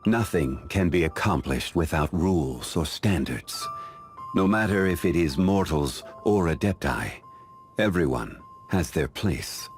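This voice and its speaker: A male actor playing a calm, dignified, ancient immortal man in a video game, speaking in a measured, formal, refined way. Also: sits low, faint music behind. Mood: solemn. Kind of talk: scripted video game character voice lines.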